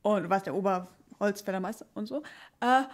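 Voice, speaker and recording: A young woman improvising an evasive, money-minded character in a stage audio drama, in a halting manner. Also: medium pitch, a little hiss or hum, natural voice. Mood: defensive, evasive. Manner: halting, self-interrupting. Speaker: improv actress. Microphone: stand microphone at a table.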